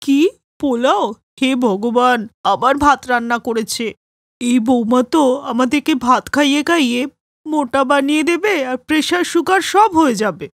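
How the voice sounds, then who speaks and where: A woman's voice: high-pitched, a little slow, no room sound, clean studio sound. A woman voicing a grumbling, scornful older mother-in-law in a cartoon story. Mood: complaining. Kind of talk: cartoon voice acting.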